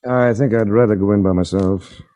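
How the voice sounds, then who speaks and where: An actor playing a cool, wry insurance investigator in a 1940s radio drama, with dry, understated, clipped delivery. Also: male voice, on the low side, steady tone, fairly quick, warm tone, natural voice. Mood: cool, unruffled. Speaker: lead actor and narrator. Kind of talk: 1940s radio detective acting.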